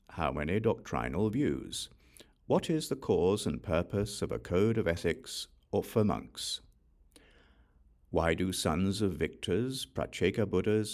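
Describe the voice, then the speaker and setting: Very deep-voiced man reading scripture slowly. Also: a little mellow. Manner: measured, deliberate phrasing in short questions and lists. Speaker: audiobook reader.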